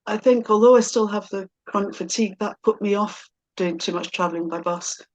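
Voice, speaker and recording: A middle-aged woman in a casual, personal conversation. She speaks at a medium pitch and thinks aloud, feeling her way through her sentences with some hesitation. Her manner is thoughtful and self-reflective. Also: fairly quick.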